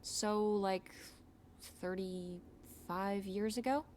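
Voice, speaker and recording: A woman's voice: medium pitch, steady tone, drawn-out words, darkish tone. Teen girl, earnest n pushy, kinda plaintive, video game drama, noise in the back. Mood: curious, teasing. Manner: questioning, plaintive, blunt. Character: earnest, pushy teenage girl questioning her mother. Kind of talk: naturalistic video game voice acting.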